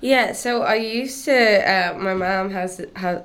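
A woman's voice: medium pitch, takes it slowly, clear, resonant tone. A young woman musician from Cuba who grew up in Dublin, chatting casually in an interview with lots of "like" and "um". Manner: relaxed, chatty, full of "like" and "um". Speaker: musician guest.

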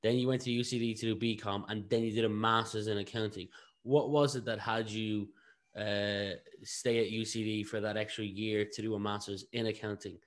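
A man's voice: lowish voice, little pitch movement, normal speed. A man with an Irish accent hosting a podcast and putting questions to a guest in an informal, conversational way. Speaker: podcast host. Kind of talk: conversational interviewing.

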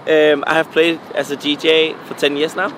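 A young man from Denmark, a DJ and music producer, answering interview questions in halting, filler-heavy English with a Danish accent. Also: on the high side, level tone, a bit bright, a little hiss or hum, poor audio. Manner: halting non-native English, frequent fillers.

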